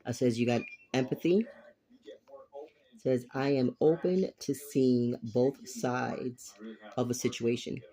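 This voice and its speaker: A man with a raspy voice giving a rambling, blunt tarot reading at a table, without a dedicated microphone. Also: mid-range voice, steady tone, slow pace, mellow. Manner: rambling and blunt, with casual swearing. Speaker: tarot reader. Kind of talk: casual tarot reading to camera. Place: indoors at a table covered with cards.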